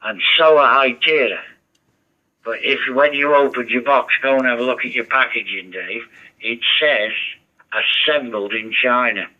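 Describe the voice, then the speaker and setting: A man's voice: medium pitch, moderate pace, sharp tone. A man chatting over a ham radio, rambling and giving advice. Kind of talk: casual radio chat. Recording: dull muffled radio audio.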